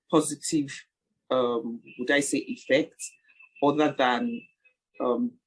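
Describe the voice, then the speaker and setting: A woman hosts an interview podcast in a husky voice. She takes her time, speaking slowly and pausing mid-phrase as she feels for the next word. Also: very deep voice, low-quality recording. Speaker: podcast host.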